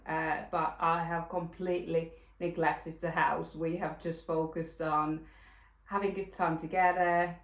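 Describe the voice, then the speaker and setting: Finnish woman with a low voice. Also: roomy sound. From Finland. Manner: rambling, with frequent fillers and restarts. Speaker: knitting podcast host. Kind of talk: casual chat.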